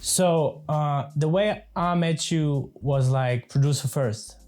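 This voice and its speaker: A man's voice: a little high, slow, darkish tone. A man hosting a casual interview, asking friendly follow-up questions and often repeating words as he goes.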